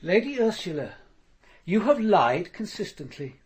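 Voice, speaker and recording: Husky, stern police commander. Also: male voice, high voice, sing-song, unhurried, no echo, exaggerated voice. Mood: stern, accusing. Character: stern male police commander, probing and reflective. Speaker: actor playing the lead detective and narrator. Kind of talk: radio drama acting and narration.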